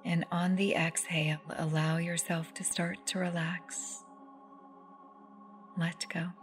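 Low-pitched woman guiding a soft meditation. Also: flat delivery. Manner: soothing, unhurried, with long pauses. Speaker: guided meditation host. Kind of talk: guided meditation narration. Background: meditative music with singing bowls.

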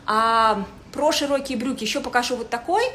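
A woman in her thirties giving a casual livestream style lesson as a stylist, speaking haltingly with searching pauses. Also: on the high side, pushed and bright. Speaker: fashion stylist hosting a livestream. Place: indoors in a home living room with a desk. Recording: echoey room with faint noise.

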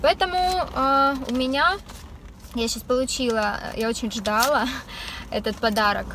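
A woman's voice: a little high, a little slow. A young woman chatting casually to the camera from her parked car, with many filler sounds and light laughs. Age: young adult. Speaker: vlogger. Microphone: camera on the dashboard. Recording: faint background noise.

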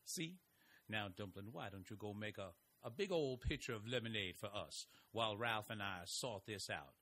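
A man's voice: on the low side, animated, normal speed, fairly bright tone, slight background noise. A man playing a wry, easygoing small-town husband. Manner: dry, teasing, easygoing. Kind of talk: audio drama acting.

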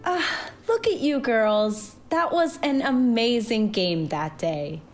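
Woman voicing a gentle, unhurried, reassuring mother. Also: medium pitch, lively intonation, soft background music. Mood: fond, concerned. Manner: tender, encouraging. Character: gentle, loving, reassuring mother of a growing girl.

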